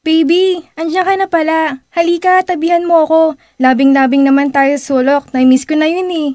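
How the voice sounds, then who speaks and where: A high young female voice with a Filipino accent plays a teenage girl acted by a woman. She is sweet and playfully teasing, in a homemade radio play with a dry, close sound. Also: steady tone, brisk, a little mellow, no echo, lightly acted. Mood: affectionate. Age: young adult. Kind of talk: amateur Filipino radio drama.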